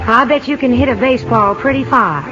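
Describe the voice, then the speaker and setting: An actress playing a polite young woman on a date in a radio drama, gently teasing and playful. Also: a little high, brisk, close to an everyday voice. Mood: polite, curious. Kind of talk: 1950s radio drama acting. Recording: muffled 1950 radio broadcast with music.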